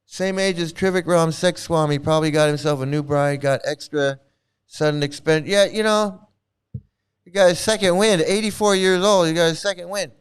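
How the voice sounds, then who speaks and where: A middle-aged man rants in loose commentary, scornful and profane, circling back to the same words again and again. His voice sits high for a man and comes through smooth and clear. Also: normal speed. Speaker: commentary channel host. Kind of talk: casual ranting commentary.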